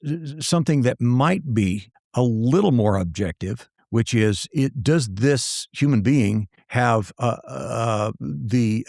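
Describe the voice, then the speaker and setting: A man's voice: medium pitch, vocal fry, a little mellow, no room sound, clean studio sound. A man co-hosting a podcast, speaking thoughtfully and hesitantly, with many fillers and repeated words. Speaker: podcast co-host. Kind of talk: casual conversation.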